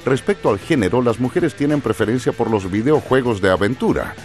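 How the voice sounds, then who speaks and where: A man's voice: mid-range voice, expressive, brisk, a little mellow, faint music behind. A man reading scripted educational narration as the narrator of a radio programme.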